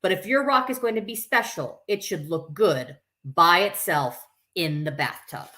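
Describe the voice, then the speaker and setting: A woman's voice: lowish voice, expressive, a little slow, sharp tone. A woman hosting a story time, reading a picture book aloud expressively with informal, chatty asides to her listeners. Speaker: camp staff story time host.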